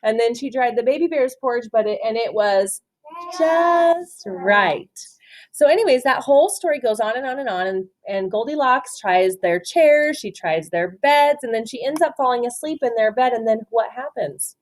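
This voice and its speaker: A woman doing an interactive picture-book read-aloud for children, expressive and often asking questions. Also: fairly high pitch. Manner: expressive, asks questions and pauses for answers. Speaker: storytime reader, teacher.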